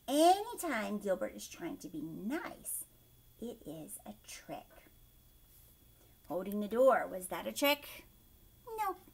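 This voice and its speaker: Woman, children's read-aloud, expressive teacher style, high voice, slow pace, explanatory asides. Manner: expressive, checks understanding with questions to young listeners. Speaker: teacher reading a picture book aloud to children.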